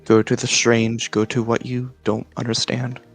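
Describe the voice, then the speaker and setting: A man talking as a guest in a casual explanatory chat, halting as he searches for his words. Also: mid-range voice, flat delivery, breathy edge, soft background music. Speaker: guest, series creator.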